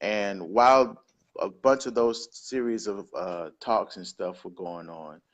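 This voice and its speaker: Upbeat low-voiced Southern man. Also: slow pace. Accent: Southern American. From Georgia, USA. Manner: upbeat, easygoing, storytelling. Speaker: guest, crypto device distributor. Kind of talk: casual interview over video call.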